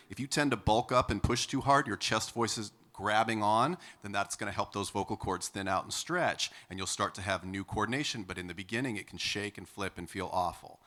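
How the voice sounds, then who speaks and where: A man with a baritone speaking voice, teaching a singing lesson and counting students in with encouragement. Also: bright, forceful tone. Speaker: vocal coach. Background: piano.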